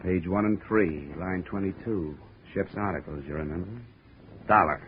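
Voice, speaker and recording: An American actor playing a tough, wisecracking insurance investigator in a 1950s radio drama, clipped and world-weary. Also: male voice, sits low, moderate pace, lightly acted. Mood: dry, brisk. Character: hard-boiled, wisecracking male insurance investigator. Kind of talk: 1950s radio detective drama acting and narration. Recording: noisy, muffled 1950s radio recording.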